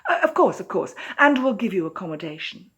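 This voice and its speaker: A woman's voice: medium pitch, very animated, fairly quick, a bit bright, slightly rough, heavily acted. A woman voicing a nervous female city mayor in a storybook tale, speaking formally and urgently. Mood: anxious, pleading.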